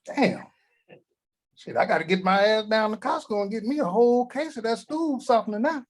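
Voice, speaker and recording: Brief: man playing foul-mouthed old granddad, raised voice, gruff wisecracks. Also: sits high, normal speed. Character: crude cranky old grandfather, scheming. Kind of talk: table read.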